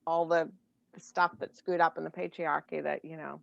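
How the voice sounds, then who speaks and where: A woman's voice: low-pitched, little pitch movement, moderate pace, creaky, fairly bright tone. A woman hosting a podcast in a chatty, supportive way, with frequent "you know"s and laughter. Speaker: podcast host. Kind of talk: casual conversation. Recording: dull dry lo-fi line.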